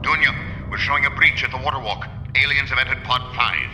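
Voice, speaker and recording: Bright, forceful general. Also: male voice, mid-range voice, quick pace, roomy sound, a little hiss or hum, rough recording. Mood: urgent, commanding. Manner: clipped, commanding. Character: stern, brisk male general giving orders. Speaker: military commander. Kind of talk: video game cutscene acting.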